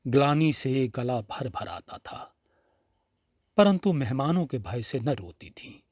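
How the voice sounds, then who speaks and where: A man narrating a literary story in a measured, expressive, dramatic reading style. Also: medium pitch, no echo, studio quality.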